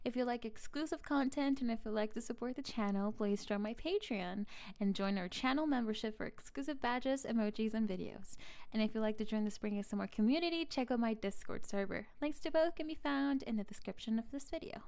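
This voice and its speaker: A woman speaking quietly as an ASMR channel host, reading a scripted outro. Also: fairly high pitch, a little fast.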